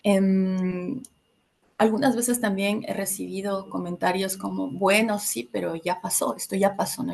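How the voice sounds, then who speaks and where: A woman from Ecuador in her thirties addresses a group in a reflective workshop talk. Her mid-pitched voice has a gravelly, fry-tinged texture. She often pauses with small fillers as she searches for words. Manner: reflective, many filler hesitations. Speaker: workshop facilitator.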